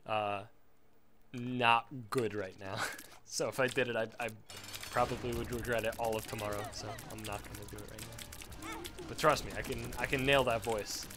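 A man's voice: medium pitch, fairly even intonation, normal speed, noisy background. A man of twenty-one with a sore throat, rambling and self-deprecating as he commentates a game, swearing at it now and then. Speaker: let's play commentator. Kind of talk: casual gameplay commentary.

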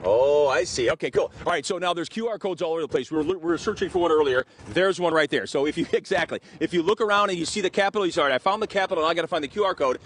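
Fast-talking, enthusiastic male TV field reporter. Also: a little high, fairly bright tone. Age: middle-aged. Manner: enthusiastic, effusive. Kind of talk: live news interview, chatty. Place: outdoors in a sunny city park. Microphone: handheld reporter microphone.

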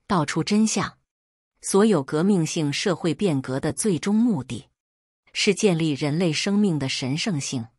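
A woman's voice: on the low side, darkish tone, breathy edge, no room sound, studio quality. A woman reading solemn, inspirational scripted documentary narration.

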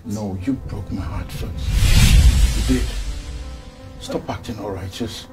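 An actor playing a two-timing young groom who pleads softly and evasively. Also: male voice, on the low side, slow pace, loud music behind the voice. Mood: accusing. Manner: pleading, soft and insistent.

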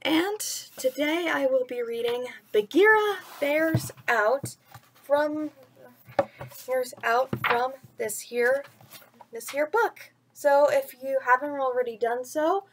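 A young adult woman hosting a children's story series, chatting casually to camera from a room at home. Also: high-pitched. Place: small room at home, indoors.

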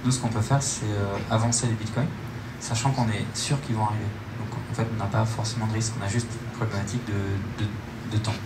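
A young man giving informal, halting answers as a startup presenter on stage at a meetup, speaking into a handheld microphone. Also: lowish voice, little pitch movement. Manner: hesitant, halting phrasing. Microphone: handheld microphone, recorded by camera in room. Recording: echoey hall, loud room noise.

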